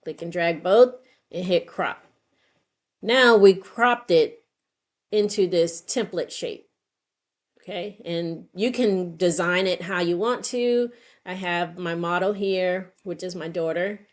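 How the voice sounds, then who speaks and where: A woman's voice: lowish voice, slow. A middle-aged woman narrating an informal step-by-step tutorial, unscripted and thinking aloud as she works. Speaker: craft tutorial host.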